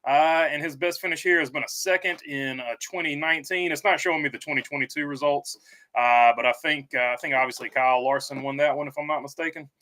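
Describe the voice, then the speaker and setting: Male streamer, bright tone, halting and casual, reads viewer comments aloud. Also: on the high side. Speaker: livestream host. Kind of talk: casual live stream chat.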